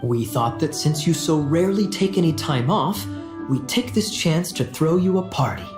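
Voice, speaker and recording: A woman playing a self-assured, teasing colleague in a video game, with a measured, theatrical delivery. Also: low voice, sing-song, echoey room, over music.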